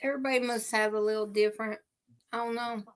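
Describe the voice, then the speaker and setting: Self-deprecating woman, rather high voice. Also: fairly even intonation. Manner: self-deprecating, quick bursts of talk. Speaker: talk show co-host. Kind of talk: casual chat.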